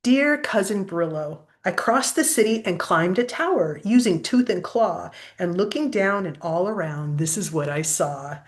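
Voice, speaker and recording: A woman's voice: lowish voice, expressive, a little slow, few pauses. A woman voicing a curious, wondering male armadillo traveler in a storybook, earnest and full of questions. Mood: chatty.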